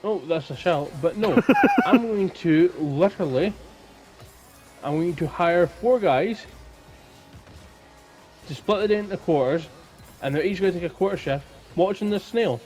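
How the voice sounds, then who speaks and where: A man's voice: sits high, expressive, unhurried, darkish tone, faint music behind. A Scottish man co-hosting a podcast in casual, informal conversation. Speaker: podcast co-host. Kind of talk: casual chat.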